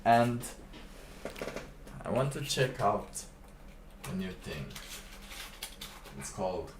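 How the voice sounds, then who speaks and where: A man live streaming, chatting casually in a halting, rambling way with lots of fillers. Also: on the low side, animated, noisy background. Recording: roomy, distant mic with faint ambience.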